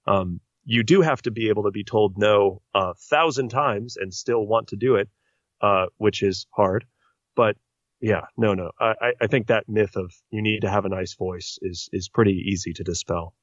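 Low-voiced voice actor guest, dry sound. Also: male voice, normal speed, dry recording, highs cut off. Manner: earnest, talkative, explains at length. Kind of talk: casual podcast interview.

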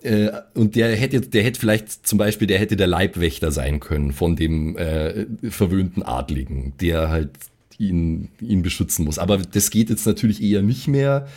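Guy with a lowish voice, casual podcast chat, keeps restarting as he thinks. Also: a little mellow. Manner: halting, repeats himself while thinking aloud. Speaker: podcast player planning his character.

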